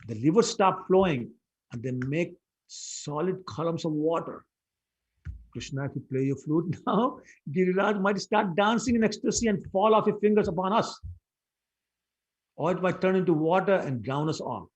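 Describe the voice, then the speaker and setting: A playful boy who jokes and boasts, his voice jumping excitedly up and down, as a man acts him out. It carries a husky grain. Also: high-pitched, moderate pace, muffled recording, voice pushed up. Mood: alarmed. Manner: teasing, excitable.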